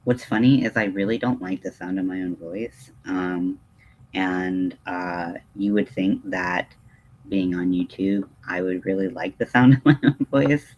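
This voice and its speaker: A man's voice: sits low, steady tone, unhurried, a little mellow, highs cut off, slight background noise. A man hosting a casual livestream, rambling in a hesitant, digressive, self-deprecating way. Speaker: livestream host.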